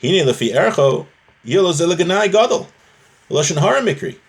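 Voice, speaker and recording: A man's voice: sits high, sing-song, fairly quick, vocal fry. A man giving an informal religious lesson, reading out a text and explaining it conversationally, often repeating key phrases. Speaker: religious teacher, rabbi.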